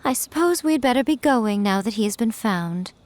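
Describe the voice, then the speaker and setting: A woman voicing a sly, imperious fox-spirit shrine priestess who talks in a smooth, teasing, condescending way, in a video game. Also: on the high side, animated, normal speed, darkish tone, slight background noise, close to an everyday voice.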